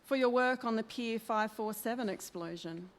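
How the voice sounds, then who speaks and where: A woman's voice: a little high, normal speed, close to an everyday voice. A woman playing an eager, affectionate newspaper colleague in an old-time style radio play. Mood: pleased, informative. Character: eager, affectionate young female newspaper reporter's sidekick. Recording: echoey hall, long reverb.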